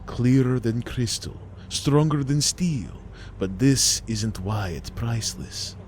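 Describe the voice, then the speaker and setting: A man's voice: mid-range voice, lively intonation, slow, a little mellow, a little hiss or hum. A man voicing a coaxing immigrant salvage boss in an audio story, dramatic and drawn out in his emphasis. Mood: conspiratorial. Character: wheedling, gold-toothed salvage boss, male immigrant sailor.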